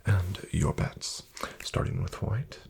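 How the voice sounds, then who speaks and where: Casting: male performer as courteous casino dealer for ASMR roleplay, hushed low voice, heavy vocal fry, formal and deferential. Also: noisy background, heavily acted. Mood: measured, calm. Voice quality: soft, hushed, breathy-edged delivery. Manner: polite, formal, measured, deferential. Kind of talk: soft-spoken ASMR roleplay. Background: card shuffling and clicking.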